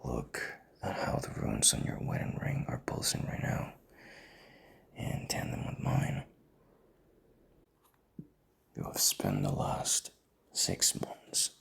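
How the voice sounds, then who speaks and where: Guy doing a super low breathy raspy king, proud and bossy, intimate audio roleplay, half whispering. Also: pushed and bright, full character voice. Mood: bitter. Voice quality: very deep, gravelly, breathy. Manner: commanding, slow, breathy half-whispers with heavy sighs. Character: proud, stubborn, commanding young king, bitter rival husband.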